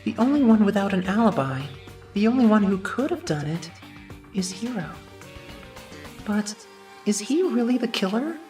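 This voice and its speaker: A woman's voice: medium pitch, very animated, normal speed, long pauses, warm tone, recorded from a distance, faint music behind, lightly acted. A woman voicing an earnest, uneasy teenage boy student in a pensive, hesitant inner monologue for an anime game fan dub. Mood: pensive, worried.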